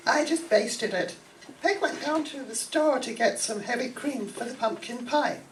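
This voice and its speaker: A woman's voice: fairly high pitch, normal speed, distant mic, faint music behind, lightly acted, poor audio. A woman playing a fussy, sweet, self-conscious aunt with a crush in an old-time radio sitcom. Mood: homely.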